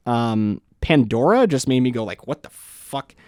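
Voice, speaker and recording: A man co-hosting a music review show in a college radio studio, speaking at a middle pitch for a man. He rambles, restarts his sentences and swears offhandedly. The sound is close and dry, with no room echo. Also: lively intonation, measured pace, dark tone. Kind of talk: casual music review chat.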